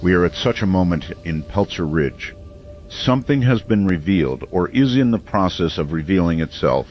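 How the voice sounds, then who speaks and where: Man acting as a low-voiced, solemn late-night radio host. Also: animated, dull audio, soft background music. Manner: solemn, measured, portentous. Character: brooding, earnest male small-town late-night radio host. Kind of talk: scripted radio monologue in an audio drama.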